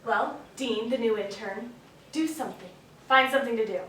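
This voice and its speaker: A woman's voice: on the high side, normal speed, fairly bright tone, natural voice. An actress playing a domineering, sarcastic, short-tempered female scriptwriter who snaps and bosses. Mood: bossy, snapping. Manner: sarcastic, bossy, snapping. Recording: very echoey, distant stage recording with hiss.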